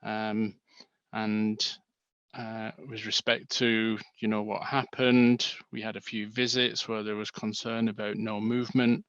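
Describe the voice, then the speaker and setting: A man from Manchester, England with a Manchester accent, co-presenting a seminar in a halting way with many fillers and restarts, heard over a video call. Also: fairly low pitch, little pitch movement, fairly bright tone, clear, resonant tone, dry recording, lo-fi sound. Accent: Manchester English.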